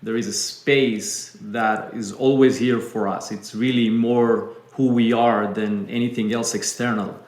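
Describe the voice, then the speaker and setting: A man from Israel with an Israeli accent, a guest telling his story in a calm, reflective way. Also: lowish voice, measured pace, hardly any pauses. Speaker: business owner guest. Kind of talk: interview storytelling. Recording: echoey room.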